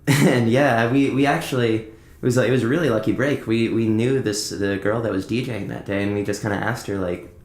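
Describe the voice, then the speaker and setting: A young American man, a musician guest, chatting casually and haltingly in an interview. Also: mid-range voice, steady tone, darkish tone. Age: young adult. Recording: echoey, roomy sound.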